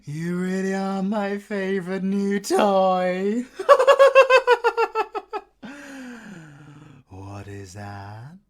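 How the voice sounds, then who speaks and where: A man's voice: high voice, lively intonation, slow pace, darkish tone. A British man voicing a possessive, sadistic werewolf villain in a theatrical audio roleplay, purring endearments and slipping between whispers and laughter. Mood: gleeful, adoring.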